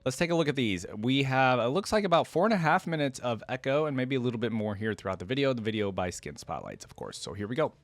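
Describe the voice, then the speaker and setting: A man's voice: medium pitch, very animated, fast talker, few pauses, dry recording. A man hosting a reaction channel, reading aloud and adding casual, conversational commentary. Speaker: host of a game lore reaction channel.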